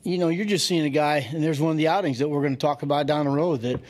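Middle-aged man, team manager, halting press-room answers. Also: fairly high pitch, steady tone, a little mellow. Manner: halting, restarts sentences often. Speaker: baseball manager. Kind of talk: post-game press conference. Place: press room with sponsor backdrop. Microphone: podium microphone.

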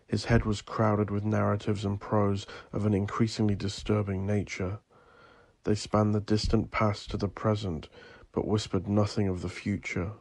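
A man reads dark horror fiction as a theatrical storyteller. His voice has a pronounced gravelly fry and stays within a fairly even range. The delivery is ominous and deliberate, captured very dry and close. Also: lowish voice, no echo. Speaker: audio drama narrator.

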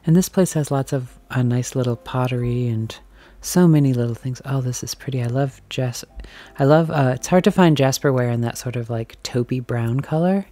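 A woman filming herself as she browses a large antique and thrift shop, chatting casually and musing aloud, sometimes dropping to a soft whisper. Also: a bass voice, moderate pace, hardly any pauses. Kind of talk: casual walk-and-talk commentary. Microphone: handheld camera mic, filming as she walks.

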